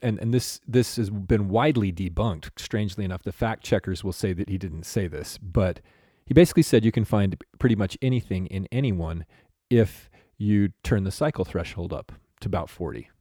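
A man with a low, dark voice co-hosts a casual podcast discussion. The sound is close and dry, and he often cuts in to finish his partner's points. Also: normal speed, hardly any pauses, slightly rough, no room sound. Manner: chimes in to finish the other host's points. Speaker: podcast co-host.

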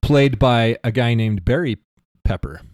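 Mellow American man, casual co-host. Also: medium pitch, animated, a little slow, dry recording, clean studio sound. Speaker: talk show co-host. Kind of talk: casual conversational commentary.

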